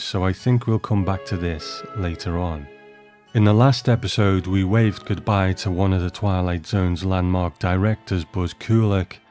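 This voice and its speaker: A man with a low voice hosts a scripted episode commentary. His delivery is measured and explanatory, and light music plays behind him. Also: a little mellow. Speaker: host of a commentary show on an old TV series.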